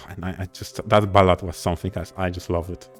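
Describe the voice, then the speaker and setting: Effusive low-voiced man over background music. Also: flat delivery, a little fast. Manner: effusive, emphatic agreement. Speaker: podcast co-host. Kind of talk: casual podcast chat. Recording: music playing behind the voice.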